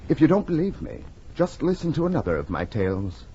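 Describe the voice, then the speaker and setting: Droll macabre writer hosting his stories, lively delivery, faintly hissy old radio. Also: medium pitch, fairly quick. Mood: wryly aggrieved. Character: wry, macabre author introducing his own tales. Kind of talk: 1940s radio drama narration. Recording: muffled, noisy 1940s radio recording.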